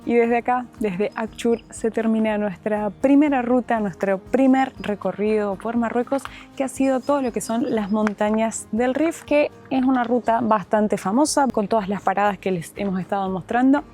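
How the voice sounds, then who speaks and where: A woman's voice: a little high, moderate pace, few pauses, warm tone, faint music behind. An Argentine woman in her thirties, a travel vlogger explaining the trip in a chatty way outdoors in the mountains. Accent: Argentine Spanish. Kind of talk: travel vlog narration.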